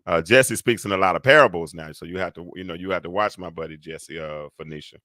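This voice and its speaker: A man hosts a casual live show, his voice very low and heavy. He rambles and restarts, leaning on fillers as he thinks aloud. The sound is close and dry, with no room around it. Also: quick pace, bright, forceful tone, no room sound. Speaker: host. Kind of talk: casual live-stream talk.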